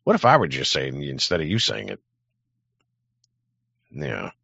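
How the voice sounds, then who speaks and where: A man's voice: extremely low pitch, wildly swooping pitch, moderate pace, creaky, sharp tone, slightly rough, no echo, lo-fi sound. A man hosting a livestream, rambling through a profane, sardonic political rant. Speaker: livestream host.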